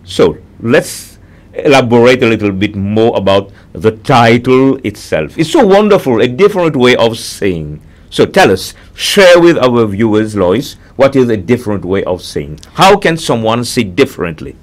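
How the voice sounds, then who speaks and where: A man's voice: mid-range voice, lively intonation, moderate pace. A man hosting a conversational broadcast interview, repeating answers back and addressing viewers directly.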